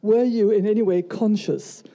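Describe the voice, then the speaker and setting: Husky, dark-toned woman. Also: medium pitch, fairly even intonation, normal speed. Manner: storytelling, leading into a question. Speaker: audience member asking a question. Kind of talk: audience question. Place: college event hall with an audience.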